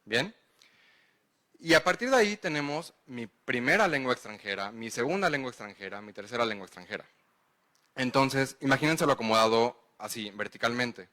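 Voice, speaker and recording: Man, Mexican accent, lecturer, explanatory, checks in with listeners, bright tone. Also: medium pitch, animated, normal speed. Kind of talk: conference lecture answering questions.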